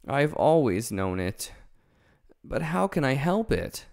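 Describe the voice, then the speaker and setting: A man's voice: fairly high pitch, big pitch swings, a little slow, a little mellow, pitched above the performer's own voice. A man voicing a big cowardly male lion in a storybook reading, meek and ashamed. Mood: timid.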